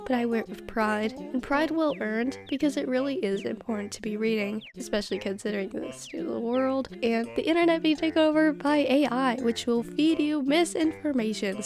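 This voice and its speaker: A teenage girl with a stuffy nose, giving scripted commentary in a chatty, self-mocking, emphatic way. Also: fairly high pitch. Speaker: youtuber. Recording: music playing behind.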